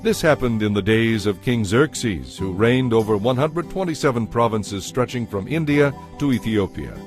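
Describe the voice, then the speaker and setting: A man's voice: medium pitch, expressive, moderate pace. A man narrating a dramatized audio Bible in a scripted, storytelling style. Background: music.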